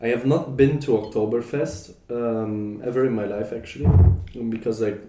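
A young man from Germany talks in a warm, mellow voice, pausing often with ums and restarting his sentences. He sounds relaxed and unhurried as he chats. The room adds a noticeable echo. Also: mid-range voice, a little hiss or hum. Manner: hesitant, many fillers and restarts. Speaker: podcast guest. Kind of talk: casual chat. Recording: roomy echo.

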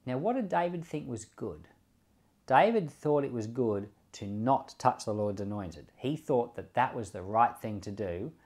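A man's voice: medium pitch, animated, measured pace, darkish tone. A pastor giving conversational bible commentary and closing in prayer, often repeating words as he thinks aloud, with the odd light chuckle.

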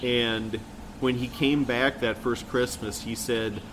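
Casting: middle-aged American male co-host, casual reminiscing, ordinary mid pitch, clearly noisy background. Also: steady tone, slow, a bit bright. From Kansas City, United States. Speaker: podcast co-host.

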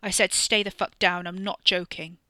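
A woman's voice: mid-range voice, brisk, sharp tone, dry recording, close to an everyday voice. A woman voicing a tough, sardonic young British man with a blunt, sweary manner. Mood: menacing.

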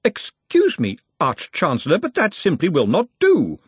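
A man's voice: on the high side, huge pitch swings, normal speed, breathy edge, no room sound, voice pushed up, exaggerated voice. A man voicing a nervous, dutiful young male academic clerk who stammers and defers. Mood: determined.

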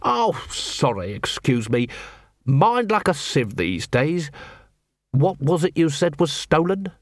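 A man voicing a weary, sardonic middle-aged captain of the city watch, speaking drily and unhurried. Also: fairly high pitch, big pitch swings, no echo, full character voice. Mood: feigned fluster. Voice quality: dry, world-weary.

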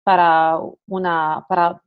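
A young Italian woman with an Italian accent, a podcast guest talking hesitantly with many pauses. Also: fairly low pitch, level tone, clean tone. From Italy. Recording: dull lo-fi sound.

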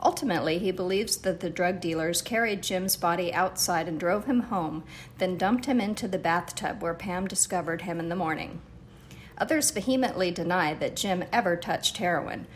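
A woman with a slightly low voice reads nonfiction aloud as a podcast host. The recording carries a faint rumbling noise beneath her narration. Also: normal speed. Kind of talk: reading a true-story book excerpt aloud.

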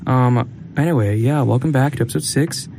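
A man's voice: medium pitch, normal speed, creaky, a little mellow, a little hiss or hum. A young man co-hosting a podcast, rambling and joking, with frequent laughs, on a studio mic in a small room. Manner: rambling, jokey, laughs often. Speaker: podcast co-host. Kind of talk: casual chat.

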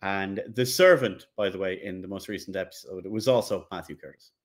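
A man's voice: low voice, brisk. A man co-hosting a podcast about games, speaking in a casual, conversational style. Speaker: podcast co-host. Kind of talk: casual gaming podcast chat.

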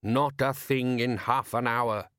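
A man voicing a gruff, hot-tempered middle-aged fisherman father in an audiobook, blustering and snapping in rustic speech. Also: mid-range voice, steady tone, slow, a bit bright, no echo, studio quality. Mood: uneasy.

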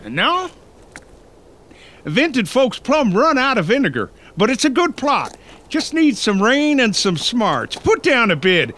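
High-pitched, folksy old country man. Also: very animated, unhurried, bright, forceful tone, slight background noise, exaggerated voice. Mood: folksy, nosy. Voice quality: mouth full of chewing tobacco. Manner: folksy rustic drawl. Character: gruff, suspicious fat old rural man, 1890s. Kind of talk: period film drama acting.

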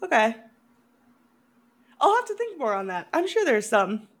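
Giggly, animated higher-pitched woman podcaster. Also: a little slow. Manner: giggly, breaks into laughter often. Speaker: podcast co-host. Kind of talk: casual film review chat.

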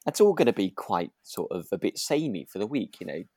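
A British man with a slightly low voice in a very dry recording, co-hosting a podcast. He chats casually and rambles a little as he thinks aloud. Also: very animated, brisk, darkish tone, breathy edge, no room sound. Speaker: podcast co-host. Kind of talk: casual chat.

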